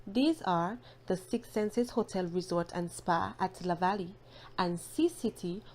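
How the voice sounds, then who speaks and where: A woman's voice: on the low side, a little slow, a little mellow. A woman reading scripted news as a presenter in a formal, careful manner. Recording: faint ambient noise behind narration.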